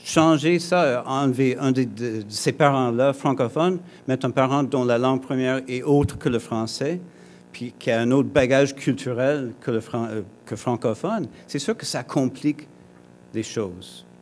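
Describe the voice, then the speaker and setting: A mid-pitched man from Alberta, English his first language, addresses a conference hall. He talks conversationally, tripping over words and restarting, dropping in joking asides to the audience. A faint hum sits under his voice. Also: unhurried. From Alberta, Canada. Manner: hesitant, frequent restarts and fillers. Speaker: conference speaker. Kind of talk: conference talk.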